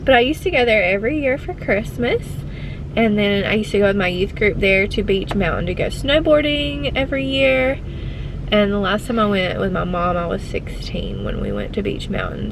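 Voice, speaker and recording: A woman's voice: medium pitch, a little fast, vocal fry. A woman, chatting casually to camera as a family vlogger, a little stuffy from allergies. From Georgia, US. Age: late 20s. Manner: chatty, rambling, gushing when excited. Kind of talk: casual vlog chat. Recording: noisy handheld camera audio.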